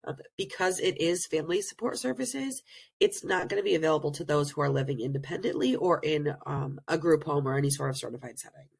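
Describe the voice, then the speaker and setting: A woman presenting in an online learning session over a video call, slightly hoarse from being sick, explaining things earnestly with many pauses. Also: sits low, expressive. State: hoarse, recovering from illness. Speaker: presenter and program director. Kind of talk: webinar presentation.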